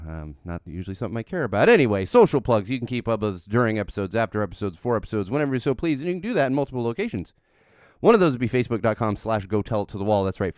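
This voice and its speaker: A man's voice: on the low side, a little mellow, clean tone, no room sound, clean studio sound. A married man hosting his own solo podcast, talking casually and rambling a bit, sounding slightly nasal from an ear infection. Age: adult, married father. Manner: rambling, self-correcting, candid. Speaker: podcast host. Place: home studio. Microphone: studio microphone with headphones.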